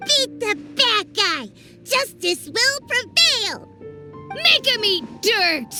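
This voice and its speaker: A woman's voice: extremely high pitch, big pitch swings, slow pace, music in the background, heavily acted. A woman voicing a tiny, childlike, spirited fairy-like companion in a video game, speaking emphatically. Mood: eager, rallying.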